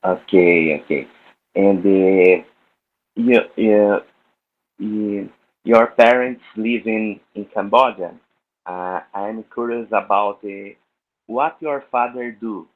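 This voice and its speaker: A man from Brazil, a native Portuguese speaker, asking questions on a video call in halting, simple English. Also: lowish voice. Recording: tinny phone-like call line.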